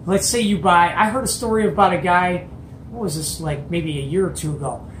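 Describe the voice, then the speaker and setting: A man's voice: high voice, fairly quick, fairly bright tone. Middle-aged male hobby host, chatty emphatic storyteller, recorded in an echoey small room with some background noise. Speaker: host of a CB radio show. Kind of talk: casual storytelling to camera. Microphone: camera or phone at arm's length. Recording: roomy echo, background noise.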